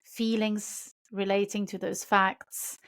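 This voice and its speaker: A woman's voice: medium pitch, level tone, takes it slowly, fairly bright tone. A woman whose first language is Portuguese, hosting a podcast and reflecting casually on art, often restarting her sentences. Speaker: podcast host. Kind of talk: casual conversation about art.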